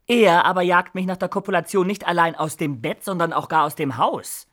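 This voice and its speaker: An actor in a German radio play voicing a cheeky young adventurer and bridegroom, wryly indignant in archaic speech. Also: male voice, sits high, a little fast, a bit bright.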